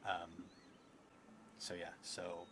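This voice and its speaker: Low-voiced, musing man, noisy recording. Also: completely flat delivery, very slow. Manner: hesitant, musing, trailing off. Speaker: solo tabletop roleplay player and streamer. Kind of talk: improvised storytelling, thinking aloud. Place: indoors at a table in a theatre room. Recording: clearly noisy room recording.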